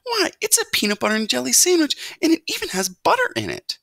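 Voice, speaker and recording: A very high, piercing mother mouse from a storybook, forever anxious and fussing. She scolds and pleads in a bright, squeaky pitch that swoops about. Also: sing-song, a little slow, creaky, no echo, clean studio sound, heavily acted. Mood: amazed. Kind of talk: children's storybook character voice.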